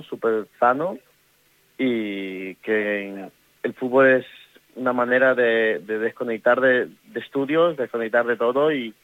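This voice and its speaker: A middle-aged man from Ecuador with an Ecuadorian Spanish accent, a women's football coach speaking in a casual interview, halting and full of restarts. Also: medium pitch, no room sound. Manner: halting, many restarts and fillers. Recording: tinny phone line.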